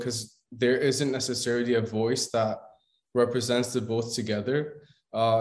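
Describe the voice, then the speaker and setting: A man's voice: medium pitch, darkish tone, roomy sound. A man interviewing a singer, asking questions in an enthusiastic, praising way.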